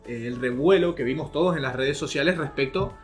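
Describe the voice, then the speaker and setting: A man's voice: mid-range voice, a little fast. A man hosting a semi-formal political discussion. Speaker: podcast host. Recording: faint music behind.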